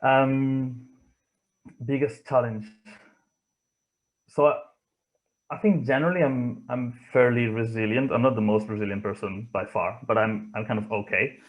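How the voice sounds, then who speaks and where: A man from Spain with a Spanish accent, giving reflective interview answers in a thoughtful, hesitant way with frequent pauses. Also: mid-range voice, steady tone, poor audio. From Barcelona area, Spain. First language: not English, from Spain. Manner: hesitant, thoughtful, pausing often. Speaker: interview guest, motorsport engineer.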